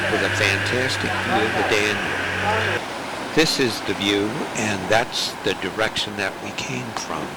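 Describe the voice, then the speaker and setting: Slow, low-voiced man narrating a travelogue. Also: heavy background noise. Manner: measured, informative.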